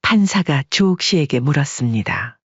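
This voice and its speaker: Husky narrator reading a story, even pace, muffled dry audio. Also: medium pitch, normal speed, no echo.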